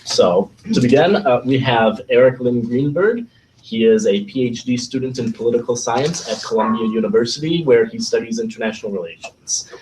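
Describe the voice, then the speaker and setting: A man moderating a panel discussion, his voice a little raspy as he gets over an illness, reading guest introductions with some fillers and slips. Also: mid-range voice, darkish tone, recorded from a distance, a little hiss or hum, poor audio. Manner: reads guest bios with fillers and small slips. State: recovering from illness.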